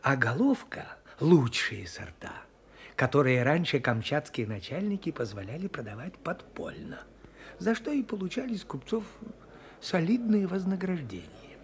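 An actor playing a wry provincial man who explains things deliberately and emphatically in a radio drama. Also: on the high side, sing-song. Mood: knowing, emphatic. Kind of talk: Soviet radio drama acting.

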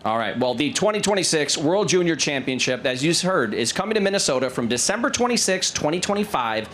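A man hosting a live TV show from an outdoor stage, asking questions and briskly reading out event details into a handheld mic. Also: fairly high pitch, very animated, fairly bright tone. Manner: brisk, reads out event info. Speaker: TV host. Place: outdoor state fair stage.

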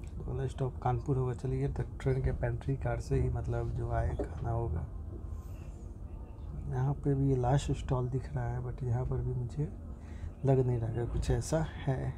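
A young man films his train journey on a phone and keeps up a loose, rambling commentary full of fillers and restarts. His voice sits in a middle pitch. Clear station and carriage noise runs under his voice. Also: flat delivery, normal speed. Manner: chatty, thinking aloud with frequent fillers and restarts. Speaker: vlogger. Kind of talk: casual travel vlog commentary. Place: inside a train compartment at a station, by the window. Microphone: phone camera held near the window. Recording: noisy phone recording in a train. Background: station and train ambience.

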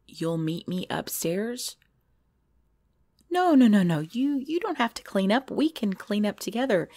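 A woman playing a cute, easily scared girlfriend in an intimate ASMR roleplay, pleading and whiny, often hushed. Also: medium pitch. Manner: pleading, whiny, dropping into hushed self-talk. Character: cute, jumpy girlfriend frightened by scary movies. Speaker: roleplay audio performer.

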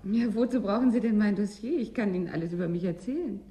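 An actress in a German science fiction radio play, playing a brisk, curious newcomer from the south with an insistent, questioning manner. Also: female voice, medium pitch, warm tone, lightly acted. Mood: puzzled, insistent.

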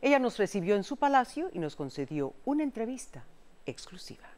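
A woman's voice: medium pitch, sing-song, fairly quick, darkish tone. A middle-aged woman presenting a television news magazine with scripted broadcast narration. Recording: music bed under studio voice. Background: background music.

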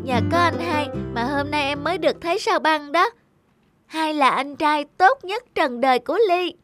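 A woman's voice: high voice, moderate pace, music in the background, lightly acted. A woman voicing a small, affectionate little sister in a children's cartoon, with a childlike, pleading manner. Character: small injured little girl, sweet and affectionate younger sister.

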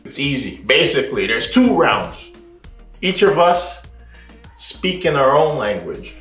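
A man's voice: medium pitch, sing-song, unhurried, a bit bright, roomy sound, soft background music. A young man whose first language is Igbo, co-hosting a couple's game at home, playful and teasing, laughing often. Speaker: co-host of a couple channel. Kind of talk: playful casual chat.

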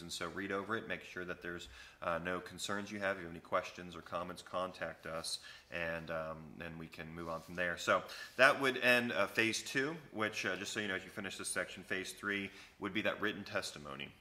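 A man in his thirties, a pastor, explaining and reading a church covenant to new members in a relaxed, conversational way, recorded on a close camera in an empty sanctuary. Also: sits low. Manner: conversational, explains each passage after reading it, many fillers. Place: empty church sanctuary with wooden pews, indoors. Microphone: camera at close range.

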